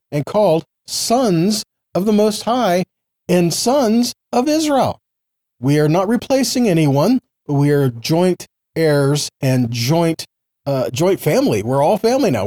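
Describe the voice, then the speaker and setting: A man's voice: on the high side, mellow. A man teaching scripture informally, reading verses and explaining them in a didactic way, often posing questions to the listener. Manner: didactic, asking rhetorical questions, seeking agreement. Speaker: Bible teacher.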